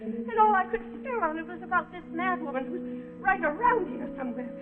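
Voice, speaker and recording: An actress playing a desperate lone woman stranded at night, pleading and excitable, in 1940s television suspense style. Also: very high voice, fairly quick, long reverb tail. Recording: tinny 1940s television soundtrack with music.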